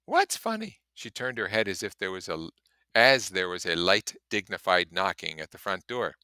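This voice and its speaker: Low-voiced man reading aloud, bright and crisp. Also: normal speed, no echo, studio quality. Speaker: reader and commentator. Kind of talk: audiobook-style reading with casual commentary.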